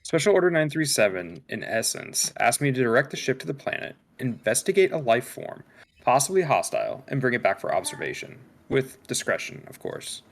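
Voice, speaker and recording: A man's voice: medium pitch, big pitch swings, brisk. Cold, condescending android, acted by a man. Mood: cool, evasive. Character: cold, calculating male android, damaged and condescending. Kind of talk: dramatic table read of a film script.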